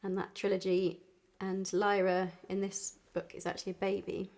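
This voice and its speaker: A woman's voice: mid-range voice, little pitch movement, moderate pace, creaky, darkish tone. A woman musician chatting informally and introducing songs, with an easy, laughing manner. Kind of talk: casual chat between songs.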